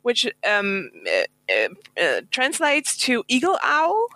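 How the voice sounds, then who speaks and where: A woman from Germany speaking English as a podcast guest, slow and hesitant with many ums and frequent giggles. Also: fairly high pitch, wildly swooping pitch, bright, forceful tone, no echo. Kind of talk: casual podcast chat.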